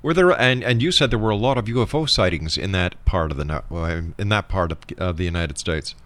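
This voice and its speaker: A man's voice: sits low, a little fast, fairly bright tone, no room sound. A man hosting a radio interview show and addressing listeners and his guest.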